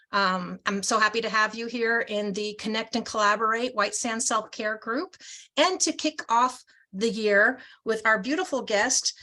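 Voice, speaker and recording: A woman's voice: fairly high pitch, pushed and bright. A woman hosting an online group, introducing her guest from a bio and stumbling over the words with many ums.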